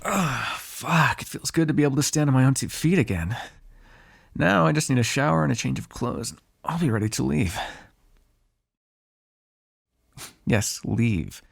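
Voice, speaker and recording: A man's voice: medium pitch, animated, brisk, creaky. A man playing a sardonic, foul-mouthed vampire on the run in an ASMR roleplay, talking in a conversational, exasperated way. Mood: weary.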